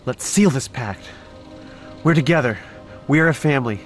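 A man's voice: mid-range voice, lively intonation, moderate pace, faint music behind, lightly acted. A man playing an unsure but loyal young man in an audio drama, hesitant yet eager. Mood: resolved.

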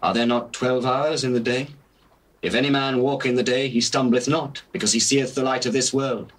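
A man acts a solemn, compassionate holy teacher in a scripture audio drama. The voice is British and husky, at a middle pitch, with measured, commanding declamation. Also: little pitch movement, close to an everyday voice, low-quality recording. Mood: solemn, assured. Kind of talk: dramatized scripture acting, theatrical.

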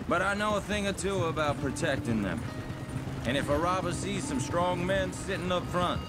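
An actor playing a talkative, self-assured American hired gun in an Old West video game, chatty, boastful and folksy. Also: male voice, sits high, lively intonation, moderate pace, loud music behind the voice. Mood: breezy confidence. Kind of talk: cinematic video game dialogue.

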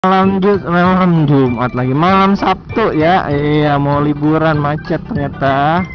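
A man's voice: fairly high pitch, unhurried, muffled recording. Chatty young man riding through traffic. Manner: chatty, joking, slangy, teasing other riders. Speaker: motovlogger. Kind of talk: casual riding commentary. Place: riding a motorcycle in city traffic outdoors.

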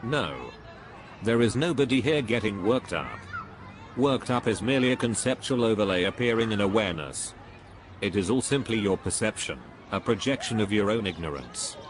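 A man's voice: on the low side, expressive, normal speed, some chatter behind, slight background noise, close to an everyday voice. A man playing a pompous, dogmatic spiritual guru in a scripted cartoon dialogue, preachy and dismissive. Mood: dogmatic, contradicting.

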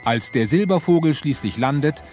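An actor as the dramatic narrator of a scripted sci-fi audio adventure. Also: male voice, fairly high pitch, animated, moderate pace, darkish tone, faint music behind, lightly acted. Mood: suspenseful.

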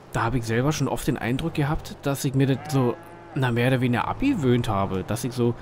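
A man's voice: mid-range voice, slight background noise. A man with a Berlin dialect, casually commenting on a farming game as the channel's host, rambling and thinking aloud with lots of fillers.

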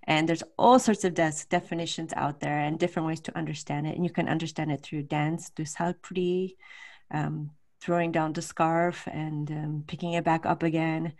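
A woman's voice: deep voice, fairly even intonation, moderate pace, darkish tone. A middle-aged woman answering questions informally at an online talk, hesitant and searching for words as she thinks aloud. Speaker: guest lecturer answering questions. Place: home room with bookshelves, on a video call. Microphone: large desk microphone.

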